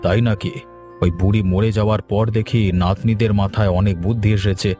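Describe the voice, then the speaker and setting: A man's voice: on the low side, level tone, brisk, mellow, faint music behind, natural voice. A man playing a haughty, scheming village boss in a cartoon, commanding and dismissive. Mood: cold, scheming. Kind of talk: Bengali cartoon story acting.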